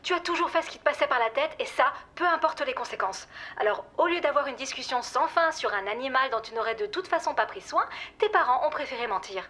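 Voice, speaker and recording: An actress playing a weary, exasperated former partner in audio fiction, blunt, sarcastic and firm. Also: female voice, sits high, expressive, fast talker, sharp tone. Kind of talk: audio fiction drama acting.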